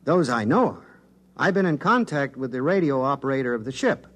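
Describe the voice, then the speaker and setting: A man's voice: medium pitch, expressive, a little fast, a bit bright. An actor playing an affable, evasive scientist and spokesman in a 1950s radio drama, explaining things conversationally.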